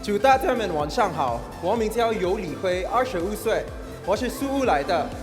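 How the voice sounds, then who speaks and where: A man in his twenties introduces himself on a pageant stage. His voice is very high for a man and rings through an echoey hall over music. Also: expressive, normal speed. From Philippines. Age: 20s. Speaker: pageant contestant.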